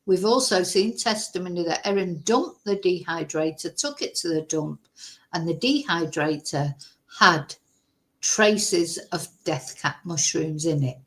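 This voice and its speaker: A woman's voice: on the low side, measured pace, fairly bright tone, poor audio. Chatty English woman, mild Manchester accent. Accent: softened Mancunian English. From Manchester, England. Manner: chatty, rambling, greets viewers by name. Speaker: true-crime livestream host. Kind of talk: casual livestream chat.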